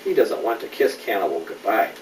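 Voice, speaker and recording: Middle-aged man, animated storybook reader chatting to a child; roomy, slightly noisy home recording. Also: on the low side, brisk, distant mic. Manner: animated, checks in with questions. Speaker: father reading a storybook aloud to a child. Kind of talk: bedtime story reading with asides to a child.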